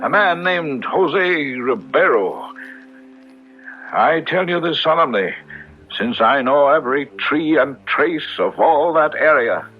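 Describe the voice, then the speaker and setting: An actor playing a skeptical, solemn country villager in a radio drama, husky and emphatic. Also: male voice, a little high, animated, takes it slowly, soft background music. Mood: firm, solemn. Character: skeptical, solemn lifelong villager, loyal old friend. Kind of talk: 1970s radio drama acting. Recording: dull old radio broadcast recording.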